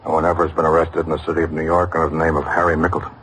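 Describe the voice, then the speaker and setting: An actor playing a skeptical police detective lieutenant in an old radio drama, reporting in a clipped, matter-of-fact way. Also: male voice, very deep voice, flat delivery, rapid-fire, fairly bright tone. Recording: noisy vintage radio drama recording.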